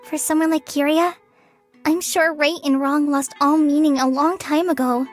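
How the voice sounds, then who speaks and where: A gentle young woman from a video game played by a woman, high in pitch and full of troubled empathy. She speaks earnestly, with hesitant sighs, over clear background music. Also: fairly even intonation, moderate pace, natural voice. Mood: pensive.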